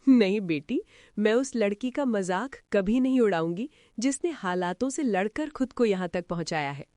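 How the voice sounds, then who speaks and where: A woman playing an affectionate, supportive middle-aged mother-in-law in an animated story, with an expressive, motherly delivery. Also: mid-range voice, normal speed, no echo, clean studio sound, natural voice. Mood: tender. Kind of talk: animated story drama acting.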